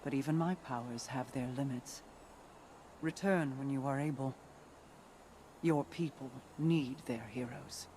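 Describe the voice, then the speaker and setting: An actor playing a regal, solemn ruler of a mystical people in a video game, with formal, measured delivery. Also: mid-range voice, noisy background, lightly acted. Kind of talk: video game cutscene monologue.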